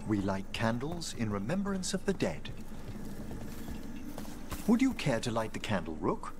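A man's voice: mid-range voice, big pitch swings, unhurried, background noise. A man playing a courteous, scholarly middle-aged necromancer in a video game, with formal, precise speech. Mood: solemn.

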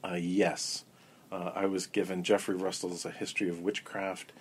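A man guest in an interview, talking in a relaxed, rambling way with many ums and restarts. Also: low-pitched, moderate pace, slight background noise. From Florida. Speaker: interview guest, coven elder. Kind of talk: casual storytelling interview.

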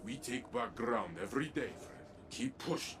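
An actor playing a noble armored robot warrior, earnest and declamatory, in a dramatic video game. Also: male voice, mid-range voice, lively intonation, normal speed, background noise, natural voice. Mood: solemn, proud. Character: noble armored robot warrior, fervent protector, warm to allies.

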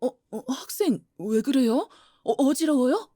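A woman playing a gentle, teasing mother. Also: high voice, expressive, a little slow, dry recording, clean studio sound. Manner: gentle, teasing, laughs often. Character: warm mother who runs a flower shop. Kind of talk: audio drama acting.

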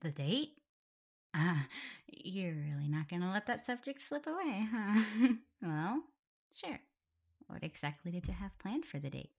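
A woman's voice: medium pitch, big pitch swings, a little slow, exaggerated voice. A woman playing a shy, caring, self-doubting young werewolf in an intimate ASMR roleplay, speaking hesitantly. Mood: gentle, curious. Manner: hesitant, stammering, soft, breathy whispers at times.

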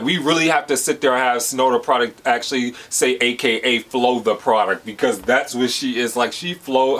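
An American man in his thirties talks at a middle pitch in a loose, excited reaction-video style. He gushes and circles back on himself, packing his sentences with "like" as he searches for the right words. His voice sits right in the everyday middle range for a man. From United States. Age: 30s. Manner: enthusiastic, rambling with many "like" fillers. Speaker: music reaction host. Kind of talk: casual reaction commentary.

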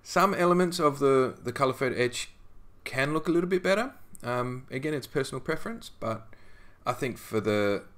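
A man narrating a scale modelling tutorial as a voice-over, relaxed and conversational with frequent ums and restarts. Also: mid-range voice, moderate pace, hardly any pauses.

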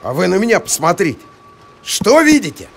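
Eager, touchy cartoon dog: very high, swooping delivery, loud music underneath. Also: male voice, big pitch swings, brisk, gravelly, full character voice. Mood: boastful, teasing. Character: excitable, boastful talking dog, male, eager and touchy. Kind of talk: animated cartoon voice acting.

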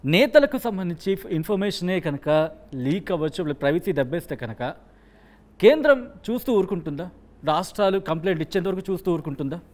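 Fast-talking, insistent male TV host. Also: high voice, lively intonation, a little mellow. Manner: insistent, repeats questions. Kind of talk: probing political interview. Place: TV studio.

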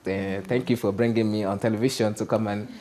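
A man's voice: on the low side, fast talker, a little hiss or hum. A man with a Nigerian accent, a stand-up comedian talking casually as a guest in a TV studio interview. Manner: animated, gestures a lot, asks "you understand?". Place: TV studio set.

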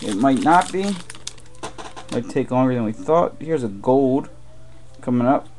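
Male host, unhurried and muttering, calls out cards as he opens packs, with soft crinkling behind. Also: mid-range voice, lively intonation, a little hiss or hum. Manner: slow, muttering play-by-play while opening packs. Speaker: card break host. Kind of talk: live card break commentary. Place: room with boxes on a desk. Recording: faint wrapper crinkling behind.

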